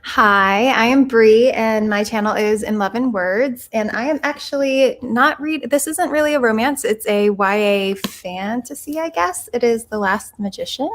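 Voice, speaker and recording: A woman's voice: a little high, a little slow, few pauses. A woman chatting casually about books as a guest on a livestream video call. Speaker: book vlogger, livestream guest.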